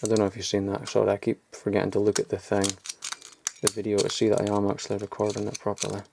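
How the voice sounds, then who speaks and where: A man's voice: lowish voice, monotone, fast talker, gravelly, noisy background. Rambling young man showing old toys. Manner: rambling, offhand, chuckles at himself. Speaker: toy collector vlogger. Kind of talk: casual show-and-tell. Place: home loft.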